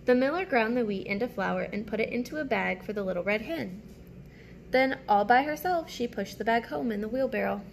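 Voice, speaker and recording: A woman reading a picture book aloud as a teacher, with simple, deliberate phrasing and asides to young listeners. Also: fairly high pitch, lively intonation, gravelly, slight background noise.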